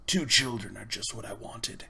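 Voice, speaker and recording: Storybook villain: male reader as a menacing elderly uncle, breathy, deliberate, falsely polite. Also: mid-range voice, bright, forceful tone, a little hiss or hum. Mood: sly, menacing. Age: older. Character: sly, menacing old uncle, tall thin eccentric.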